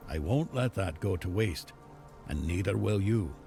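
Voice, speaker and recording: Gruff fantasy game chieftain type, deep and really croaky, grave delivery, music behind. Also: male voice, animated, unhurried, dark tone, exaggerated voice. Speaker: video game character. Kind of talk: dramatic fantasy game dialogue. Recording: game dialogue over clear music.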